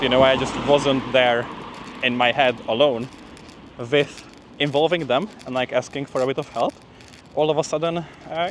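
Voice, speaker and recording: A young man with a mid-pitched voice records a casual vlog while walking. He sounds slightly winded and speaks in a loose, conversational way. Street noise is clearly audible around him outdoors. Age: young adult. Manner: informal, conversational, frequent "like" and "you know". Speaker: PhD student vlogger.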